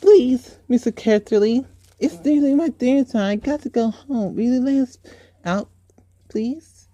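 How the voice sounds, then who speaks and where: A man putting on a high-pitched pleading little girl. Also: a little slow, warm tone, dry recording. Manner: pleading, polite. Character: polite young girl pleading to go home.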